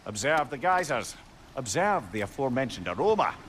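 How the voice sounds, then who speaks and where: An actor playing a chatty, learned older Scottish sage in a video game, wordy and droll in manner. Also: male voice, medium pitch, big pitch swings, a little fast, a bit bright, a little hiss or hum. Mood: explaining. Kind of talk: video game dramatic acting.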